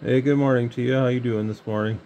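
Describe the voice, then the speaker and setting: A man's voice: medium pitch, quick pace. A man with an American Midwestern accent chatting casually as a CB radio hobbyist. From Michigan, United States. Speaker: radio hobbyist, channel host. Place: indoor radio bench. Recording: steady background hiss and radio noise.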